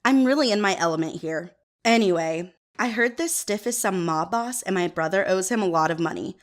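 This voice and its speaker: Actress for snooty, vapid young woman in audio drama; affected drawl of condescension, very animated intonation. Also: medium pitch, brisk, lightly acted. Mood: breezy, self-important. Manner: condescending, affected, valley-girl filler words. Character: snobby, airheaded young woman, groom's pretentious sister.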